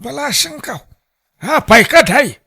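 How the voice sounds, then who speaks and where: A performer puts on a gruff older livestock buyer from an Ilocano radio drama. The voice is very high and gravelly, haggling in a slow, folksy Ilocano accent. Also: lively intonation, pushed and bright, full character voice.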